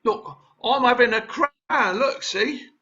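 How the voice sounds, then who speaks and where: A man's voice: very high-pitched, slow pace, highs cut off, full character voice. A man reading a cheeky schoolboy in an amateur play reading. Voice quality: cheeky, lively. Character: cheeky scruffy schoolboy playing Herod.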